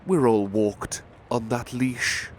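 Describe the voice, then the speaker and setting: Slow-paced gentle butler played by a man reading poetry. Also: medium pitch, natural voice. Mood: measured, wistful. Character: big-hearted male butler reading poems to a sweetheart. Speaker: ASMR roleplay performer. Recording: faint ambient background noise.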